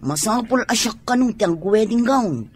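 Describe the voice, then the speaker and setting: A woman's voice: a little high, expressive, normal speed, breathy edge, no echo. A woman acting an earnest, plaintive tomboy sweetheart in a radio drama. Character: lovestruck tomboy sweetheart, earnest, reluctant to wear a gown.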